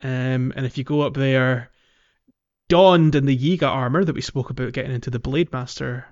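A man's voice: medium pitch, normal speed, a little mellow, dull audio. A man co-hosting a casual gaming podcast, explaining things in a hesitant way and checking for agreement. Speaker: podcast co-host.

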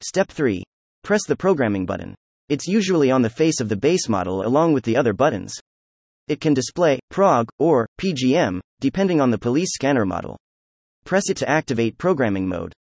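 Lively synthetic male narrator. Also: brisk, creaky, no echo. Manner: even instructional delivery with lively pitch. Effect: synthetic text-to-speech voice. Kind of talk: scripted article reading.

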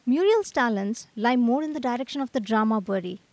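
A woman's voice: on the high side, expressive, fairly quick, a little mellow, no room sound. A woman playing an earnest, eager young male artist in a radio drama, with wordy old-fashioned phrasing. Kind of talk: scripted radio drama acting.

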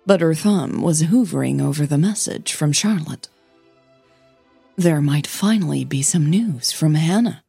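A woman with a soft, breathy voice, a podcast host who narrates her own audio fiction, over music. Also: deep voice, lively intonation, a little slow, no room sound. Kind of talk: scripted story narration with casual host outro. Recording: music bed under the voice.

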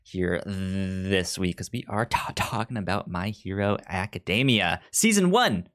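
A man's voice: sits low, lively intonation, unhurried, fairly bright tone. A man hosting a podcast in a casual, chatty style. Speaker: podcast host.

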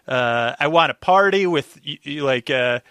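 A man's voice: on the high side, lively intonation, unhurried, pushed and bright, smooth, no room sound. A man chatting casually as a podcast guest, with a rambling, wry way of commenting.

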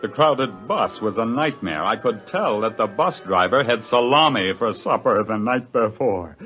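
An actor in an old radio drama playing a hard-pressed, take-charge scientist, speaking with dramatic force. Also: male voice, lowish voice, lively intonation, unhurried, fairly bright tone, soft background music. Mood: grim narration. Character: hard-pressed, take-charge male scientist narrating his own ordeal.

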